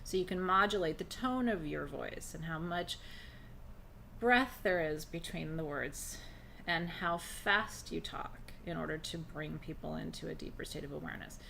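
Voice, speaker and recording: A middle-aged woman with a naturally low voice teaching a relaxed, conversational class on spiritual practice, pacing her words deliberately. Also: creaky, a little hiss or hum. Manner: conversational, intentional pacing, laughs often. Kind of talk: live online class on shamanic drumming.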